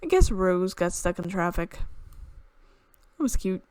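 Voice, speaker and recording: A woman's voice: fairly low pitch, sing-song, a little slow, darkish tone, clear, resonant tone, dry recording. Woman reading a story, close dry sound. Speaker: video game streamer. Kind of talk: reading a visual novel aloud with asides. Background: game music.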